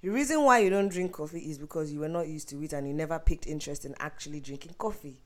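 Casting: raspy, husky woman, lowish voice, insistent co-host banter. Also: fast talker, a little mellow. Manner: insistent, argumentative. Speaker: podcast co-host. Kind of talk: casual chat.

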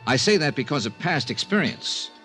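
An actor playing a shrewd, persistent insurance investigator in a 1950s radio drama, probing and wry. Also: male voice, on the high side, sing-song, normal speed, sharp tone, soft background music.

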